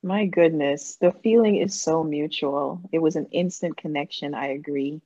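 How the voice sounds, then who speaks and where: A woman's voice: deep voice, moderate pace, mellow, no room sound, muffled recording. A middle-aged woman with an accent that shifts between Jamaican and American, talking as a gracious, effusive podcast guest. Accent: Jamaican and American mix. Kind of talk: casual interview talk.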